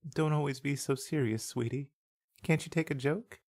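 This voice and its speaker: Man voicing a lightly pitched, teasing wife. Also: moderate pace, a little mellow, natural voice. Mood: teasing, coaxing. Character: playful, teasing wife chiding her serious husband. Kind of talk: audiobook character dialogue.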